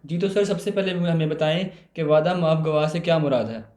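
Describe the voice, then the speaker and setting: A man's voice: fairly high pitch, normal speed, darkish tone. Echoey Pakistani male show host. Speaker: host, lawyer. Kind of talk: show introduction and interview question. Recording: echoey, roomy sound.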